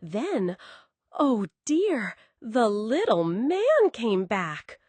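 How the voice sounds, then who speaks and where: A woman's voice: fairly high pitch, big pitch swings, very slow, a little mellow, husky, dry recording. A woman narrating a scripted bedtime story for young children, coddling the listener with endearments.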